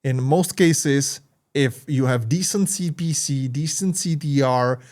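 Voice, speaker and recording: A tired man from the Czech Republic, speaking English with a Czech accent, hosts a marketing tutorial in halting speech full of fillers and restarts. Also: a little high, a little mellow. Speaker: marketing channel host. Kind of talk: screen-share tutorial talk.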